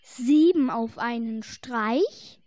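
Girl doing a mocking giant for a fairy tale audio play, drags every word out, pretty high voice. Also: dark tone. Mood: scornful disbelief. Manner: mocking, drawn-out delivery. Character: scornful, doubting giant, slow and heavy.

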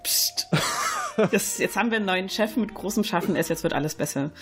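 A woman's voice: mid-range voice, a little fast. A woman co-hosting a podcast, speaking casually as she explains and announces things. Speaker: podcast co-host. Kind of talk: casual chat, announcing contest details.

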